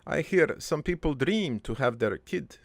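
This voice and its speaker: A man playing a crude but wistful old dead father in a cartoon, rambling through his life story in a halting, gravelly, improvised monologue. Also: on the high side, animated, dry recording. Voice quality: gravelly, creaky.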